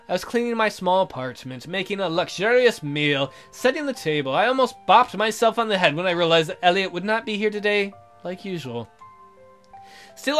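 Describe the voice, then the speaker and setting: A man's voice: high voice, fairly bright tone. A man hosting a gaming video, reading visual novel narration aloud in a theatrical storytelling manner.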